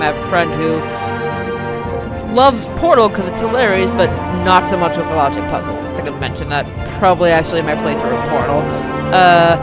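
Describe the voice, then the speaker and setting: A woman hosting a let's play, giving casual, rambling and self-deprecating gaming commentary. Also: fairly low pitch. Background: game audio with loud music.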